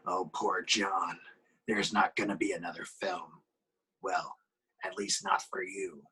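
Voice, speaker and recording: A man's voice: sits low, level tone, measured pace, bright, forceful tone, breathy edge, voice pushed down, heavily acted, low-quality recording. A man voicing a menacing, taunting masked slasher killer at a horror script reading.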